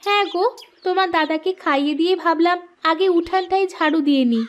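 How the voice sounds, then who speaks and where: A woman voicing a nagging but caring village housewife in a cartoon, with an expressive, chiding manner. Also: very high voice, quick pace. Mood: busy, friendly. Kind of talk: cartoon voice acting.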